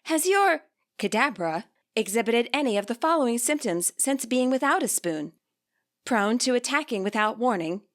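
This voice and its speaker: A woman reading scripted fiction aloud as a podfic narrator, measured and clearly enunciated. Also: on the high side. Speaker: podfic reader.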